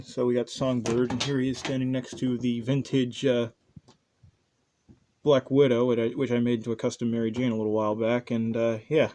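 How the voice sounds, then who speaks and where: A man's voice: medium pitch, dark tone. A man hosting a casual, unscripted review, rambling and hesitant, often trailing off. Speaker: toy reviewer, channel host. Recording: faint background noise.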